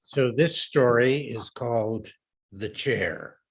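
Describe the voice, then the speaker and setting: A man giving an expressive, measured dramatic reading of a first-person literary story. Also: medium pitch, slightly rough. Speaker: reader of a short story.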